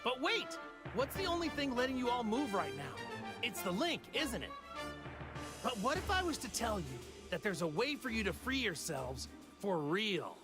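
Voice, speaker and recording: A man's voice: sits high, big pitch swings, normal speed. An actor playing a cheerful, naive martial arts hero in an anime fighting game, a man with a boyish, easygoing, earnest manner. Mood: upbeat, earnest. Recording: music behind the voice.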